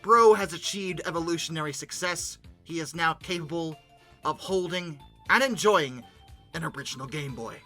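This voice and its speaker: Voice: medium pitch, normal speed, pushed and bright, faint music behind. A host giving comedic commentary on pictures in informal, slangy talk, with exasperated, dramatic emphasis. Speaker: host of a paleoart commentary show.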